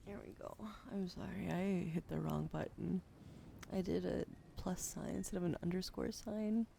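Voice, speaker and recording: A young woman streaming ASMR, drowsy and soft-spoken, slipping into whispers as she chats. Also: fairly low pitch, fairly even intonation, moderate pace, creaky, slight background noise. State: sleepy. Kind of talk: sleepy ASMR stream chat.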